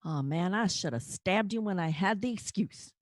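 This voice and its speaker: A woman's voice: medium pitch, lively intonation, moderate pace, no echo, studio quality, lightly acted. A woman playing a sarcastic young housemate with a casual, wry manner in a comedic audio play. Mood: exasperated.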